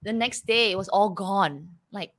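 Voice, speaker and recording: A young woman with a Malaysian English accent, co-hosting a casual chat and talking in an animated way. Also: mid-range voice, a little slow. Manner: animated, laughs often, mimics accents. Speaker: co-host.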